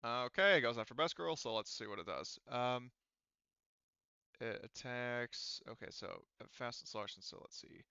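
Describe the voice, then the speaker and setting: Bright, clear-voiced man running a tabletop game. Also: medium pitch, normal speed, dry recording, lo-fi sound. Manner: thinks aloud through rules and dice rolls. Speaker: game master.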